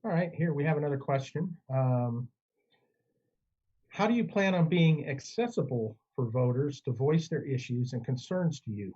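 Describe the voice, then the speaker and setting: A man's voice: a little high, expressive, normal speed, mellow, breathy edge, muffled recording. A man moderating a debate, reading audience questions aloud in a plain manner.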